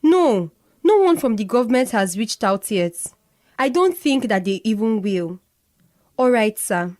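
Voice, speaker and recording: A higher-voiced Nigerian company boss. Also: female voice, big pitch swings, unhurried, darkish tone. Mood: deferential. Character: brisk female company boss, deferential to superiors. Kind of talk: radio drama acting.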